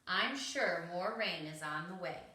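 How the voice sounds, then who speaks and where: A woman's voice: fairly low pitch, lively intonation, a little slow, pushed and bright. A woman voicing a caring, watchful mother mouse in a storybook, with a reassuring, motherly manner. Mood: cautioning. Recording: roomy, echoing home recording.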